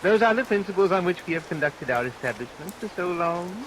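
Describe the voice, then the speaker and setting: An actor playing a callous, smooth-talking madhouse keeper, formal and mock-solemn, in an old radio melodrama. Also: male voice, high voice, expressive, a little fast. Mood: unctuous, sinister. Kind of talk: 1940s radio melodrama acting. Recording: hissy vintage radio recording, rain over it.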